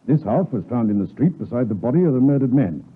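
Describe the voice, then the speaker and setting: An officious British police inspector in a vintage radio play. He talks fast with a rough, husky edge, courteous yet insistent. The sound is thin and lo-fi, like an old broadcast. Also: mid-range voice, lively intonation. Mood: probing. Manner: formal, polite but insistent. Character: brisk, officious male Scotland Yard police inspector. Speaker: radio drama actor. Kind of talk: 1950s radio drama acting. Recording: muffled, lo-fi 1950s radio broadcast.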